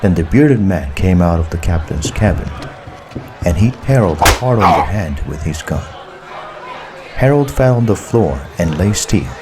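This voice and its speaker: A man narrating a story as a scripted audiobook, in a measured, deliberate way. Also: deep voice, lively intonation, crowd noise, background noise.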